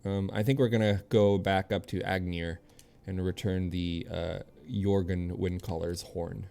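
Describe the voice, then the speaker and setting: A man's voice: deep voice, fairly even intonation, measured pace, vocal fry, dark tone. A man streaming a video game, chatting casually with viewers and commenting as he plays in an easygoing way. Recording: game music behind the voice. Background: video game music.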